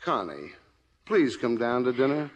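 Animated, exasperated American dad. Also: male voice, mid-range voice, a little slow, highs cut off. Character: exasperated but loving father, beleaguered football coach. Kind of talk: 1950s radio acting.

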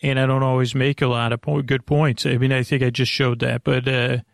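A man hosting a bedtime podcast in a creaky, lulling voice, meandering and halting as he drifts off topic and loses his thread. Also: mid-range voice, flat delivery, no echo, studio quality. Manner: lulling, soothing delivery. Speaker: host of a bedtime sleep podcast. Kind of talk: rambling, off-topic bedtime monologue.

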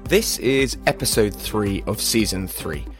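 A man's voice: fairly low pitch, animated, unhurried, faint music behind. A man hosting a podcast interview, hesitant, with many fillers and restarts. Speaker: podcast host.

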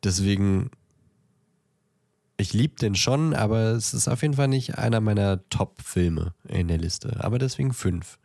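A man chatting casually as a podcast co-host, thinking aloud in a halting way as he ranks things. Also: fairly low pitch, gravelly, a little mellow, no echo, studio quality. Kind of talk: casual chat, ranking films.

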